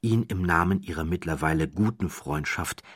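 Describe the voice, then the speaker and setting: Deep, husky male audiobook narrator. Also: moderate pace, fairly bright tone. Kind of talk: scripted literary audiobook narration of a true-crime story.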